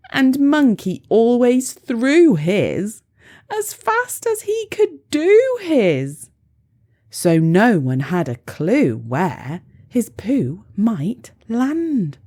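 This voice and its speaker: A woman's voice: a little high, warm tone. A woman reading a rhyming children's storybook aloud in a playful, theatrical way. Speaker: storybook reader.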